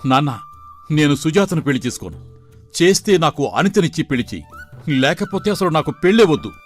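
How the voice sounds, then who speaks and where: A man's voice: a little high, sing-song, brisk. Man acting a lovelorn young son, music behind. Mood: defiant. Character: lovelorn young son pleading to marry his sweetheart. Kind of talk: moral story cartoon dialogue.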